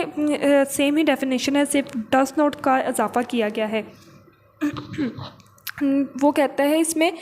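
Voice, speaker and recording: A woman's voice: high-pitched, a little mellow, a little hiss or hum. A woman lecturing on law, reading out clauses and then explaining them didactically, repeating points and mixing in English legal terms.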